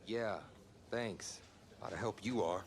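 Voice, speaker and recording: A man playing a young, cocky college football quarterback in a video game drama, talking in a casual, slangy, expressive way. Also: on the low side, unhurried, slight background noise, lightly acted. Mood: flustered. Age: young adult. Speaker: voice actor. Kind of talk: naturalistic sports video game drama acting.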